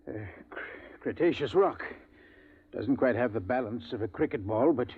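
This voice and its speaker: An actor playing a cool, jokey hunting partner in a 1950s radio drama. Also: male voice, mid-range voice, animated, normal speed, husky. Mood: wry. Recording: tinny old radio broadcast.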